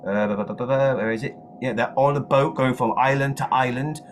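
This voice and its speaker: A man chatting enthusiastically about video game news as a co-host, excitable and repeating words for emphasis. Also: medium pitch, a little fast, slight background noise. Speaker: gaming show co-host.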